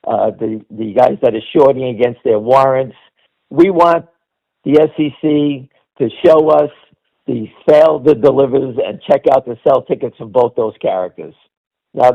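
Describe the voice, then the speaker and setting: Blunt man on dull, lo-fi call audio. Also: medium pitch. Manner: blunt, insistent, punctuated by "all right?". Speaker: stock commentator hosting a conference call. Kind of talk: informal off-the-cuff market commentary. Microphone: conference call line.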